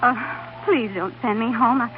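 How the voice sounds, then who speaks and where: Radio drama role: actress as devoted young wife, high voice, folksy and fretful, very thin vintage broadcast sound with hiss. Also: female voice, measured pace, natural voice. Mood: timid, pleading. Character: devoted, anxious, plucky young wife of a scientist. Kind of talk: old-time American radio drama acting. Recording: tinny, noisy vintage radio recording.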